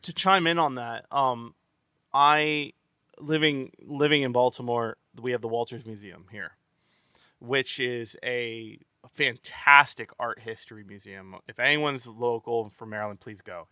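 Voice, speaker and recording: A man with a bright, forceful voice hosts a casual movie-review podcast. He rambles, restarts his sentences with stammers and swears freely. The sound is close and dry. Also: medium pitch, no echo. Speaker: podcast host.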